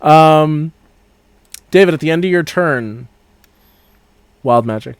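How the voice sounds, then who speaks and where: A man's voice: on the high side, slow, slight background noise. A man acting as game master, narrating a tabletop game in a casual, descriptive way.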